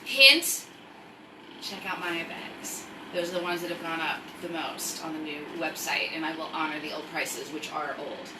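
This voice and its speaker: A woman hosting a casual livestream, chatting in a rambling, self-interrupting way with animated asides. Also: deep voice, normal speed, recorded from a distance. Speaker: online storytime host and craft seller. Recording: echoey room, off-mic, faint noise.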